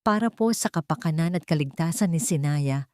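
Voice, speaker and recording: A woman's voice: sits low, lively intonation, a little fast, a little mellow, no echo, clean studio sound. A woman voicing a serious, sympathetic female social worker who speaks formally in a story. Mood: formal, solemn. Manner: formal, official.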